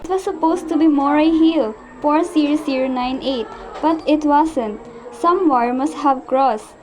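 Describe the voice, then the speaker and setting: A woman's voice: high-pitched, measured pace, noisy background. A woman playing an anxious, sickly, demanding housewife in an amateur radio play, fretful and insistent. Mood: horrified, urgent.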